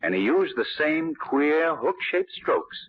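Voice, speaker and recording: A man playing a suave, self-assured gentleman detective in 1940s radio drama, urbane and explanatory. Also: on the high side, big pitch swings, takes it slowly, slightly rough, exaggerated voice. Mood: amused, explaining. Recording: dull old 1940s radio recording.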